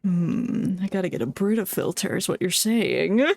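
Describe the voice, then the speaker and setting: A woman's voice: on the low side, expressive, normal speed, creaky, darkish tone, no echo, clean studio sound. A woman game streamer giving casual, playful running commentary and jokes during a playthrough. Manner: joking, playful. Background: faint game music.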